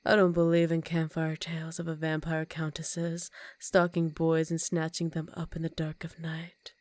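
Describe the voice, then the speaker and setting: A woman's voice: sits low, monotone, moderate pace, darkish tone, close to an everyday voice. A woman voicing a seductive, commanding vampire countess in an intimate ASMR roleplay, teasing and often dropping into whispers. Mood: mocking.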